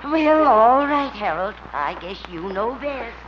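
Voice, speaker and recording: A woman's voice: on the high side, sing-song, a little slow. A woman playing the mild, patient mother of a grown son in a 1950s radio comedy. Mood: gently reproving. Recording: muffled, noisy old radio recording.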